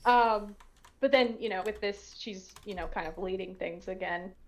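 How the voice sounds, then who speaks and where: A woman's voice: medium pitch, normal speed. A woman giving casual panel commentary in a hesitant, tentative way, often trailing off into asides. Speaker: audio drama cast or crew member giving commentary.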